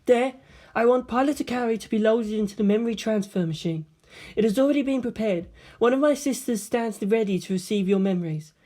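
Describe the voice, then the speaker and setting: A woman voicing a solemn, soft-spoken young girl who gives calm commands in formal, measured phrases in a story reading. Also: a little high, pitched above the performer's own voice, lightly acted. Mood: solemn, resolute.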